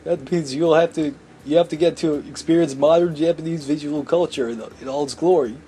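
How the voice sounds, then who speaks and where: A man voicing a bookish, zealous high-school boy in a visual novel, preachy and insistent about anime. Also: a little fast, warm tone, slight background noise, lightly acted.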